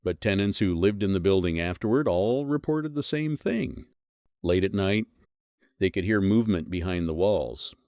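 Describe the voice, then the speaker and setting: A man reading a scripted horror mystery as narrator, in measured, suspenseful phrases that are short and dramatic. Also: low voice, expressive, a little mellow, no room sound, clean studio sound.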